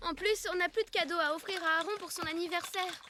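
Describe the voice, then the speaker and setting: A woman's voice: very high-pitched, fairly even intonation, fast, noisy background. A woman voicing a sensible, kind but firm young child in a French cartoon dub. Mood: firm, earnest.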